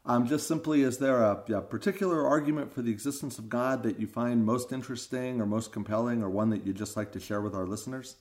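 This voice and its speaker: A man's voice: mid-range voice, quick pace, few pauses, darkish tone. Chatty male podcast host. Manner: friendly, chatty, repeats words. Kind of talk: casual interview talk.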